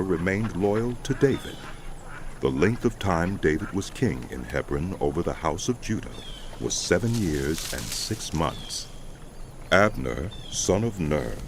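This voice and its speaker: A man narrating dramatized scripture in a solemn, measured way, phrase by phrase, over music. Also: low-pitched, background noise. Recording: loud music bed under voice.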